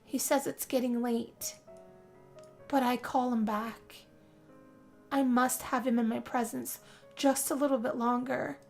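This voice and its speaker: High voiced lady doing dramatic roleplay narration, lovestruck tired girl, kinda creaky, music under it. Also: steady tone, a little slow, long pauses. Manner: dramatic, breathy sighing delivery. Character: lovestruck, weary young woman narrating her own story. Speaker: let's play narrator. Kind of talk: theatrical first-person role-play storytelling.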